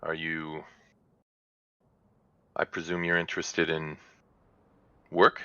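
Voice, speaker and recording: A man voicing a hospitable, harried small-town mother in a tabletop game, candid, with halting, conversational phrasing. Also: extremely low pitch, completely flat delivery, pushed and bright, smooth, dry recording, dull audio, full character voice. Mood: apologetic, businesslike.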